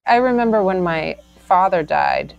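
A woman's voice: fairly low pitch, lively intonation, normal speed, creaky, clean tone, dull audio. A woman, a director appearing as a guest, talking reflectively and casually.

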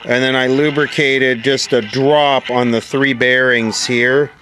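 A man talks through a repair at his workbench, casual and half to himself, thinking aloud. His mid-pitched voice comes with clear noise behind it. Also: fairly even intonation, a little slow. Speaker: radio repair hobbyist. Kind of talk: casual hands-on repair commentary. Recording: noisy workbench with radio sound behind.

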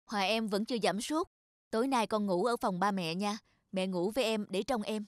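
A woman playing a firm but caring mother of young boys in an animated children's cartoon, instructing in a motherly way. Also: medium pitch, normal speed, no echo, studio quality, natural voice. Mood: gentle, caring.

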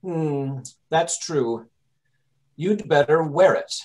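A man playing a brisk, impatient gentleman detective giving orders in old-time radio drama style. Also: on the high side, animated. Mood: calm, considering. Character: brisk, commanding, impatient English gentleman detective directing rehearsal. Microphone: video call.

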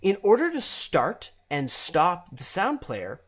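A man in his thirties presenting a software tutorial, explaining deliberately phrase by phrase, close to the mic. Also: sits high, huge pitch swings, breathy edge. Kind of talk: instructional screencast narration.

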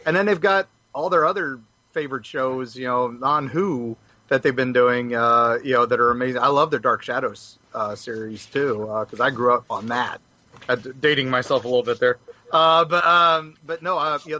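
A man's voice: mid-range voice, moderate pace, bright, forceful tone. A middle-aged man chatting casually as a podcast panelist on lo-fi audio. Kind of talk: casual chat. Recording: dull, lo-fi audio.

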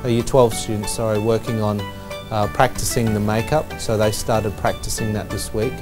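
A man's voice: fairly low pitch, normal speed. A man who teaches drama at a school, explaining the production plainly with some fillers. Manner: matter-of-fact, steady with fillers. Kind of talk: interview explanation. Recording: music playing behind.

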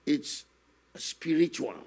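An older man, a guest minister telling his testimony slowly and earnestly in a studio interview, often adding "you know". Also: fairly high pitch, darkish tone. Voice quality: gravelly, husky. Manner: slow, earnest storytelling with many "you know" asides. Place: television studio, seated on a set. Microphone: stand microphone in front of him.

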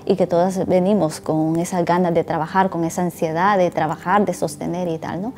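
A woman in her forties from El Salvador, speaking in a measured, reflective way. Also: on the low side, steady tone, darkish tone, slight background noise. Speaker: interviewee. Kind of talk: reflective testimony. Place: bright indoor hall.

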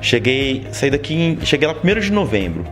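A man's voice: mid-range voice, brisk, faint music behind. A man in his forties from Brazil with an interior São Paulo accent, telling stories as a guest in a studio interview. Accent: interior São Paulo Brazilian Portuguese. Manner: storytelling, lively, many "sabe?" tags. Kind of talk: casual interview talk. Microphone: handheld mic held by interviewer.

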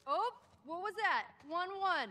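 A woman's voice: very high-pitched, expressive, normal speed, bright, forceful tone, soft background music, heavily acted. A woman playing a feisty, competitive teenage girl survivor in a video game, acted naturalistically with a teasing, brash, sweary manner. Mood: playful, taunting.

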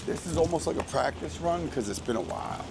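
Slightly raspy American man over street noise. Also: fairly high pitch, lively intonation, brisk. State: getting over a sickness. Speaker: vlogger hosting a walking tour. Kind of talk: casual rambling vlog chat. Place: outdoors on a city street. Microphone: handheld microphone. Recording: noisy outdoor street ambience.

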